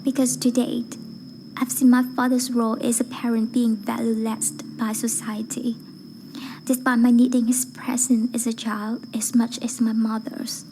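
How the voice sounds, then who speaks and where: A woman reciting a rehearsed public speech in a careful, measured and earnest way. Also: on the high side, flat delivery, dark tone, a little hiss or hum, rough recording. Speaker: voice acting learner reading a speech.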